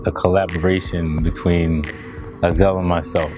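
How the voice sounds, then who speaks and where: American guy musician chatting in an interview, really low voice, laid back, music playing behind him. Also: level tone, moderate pace. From Washington, D.C. area, United States. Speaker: musician and producer in a band. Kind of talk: casual interview answers.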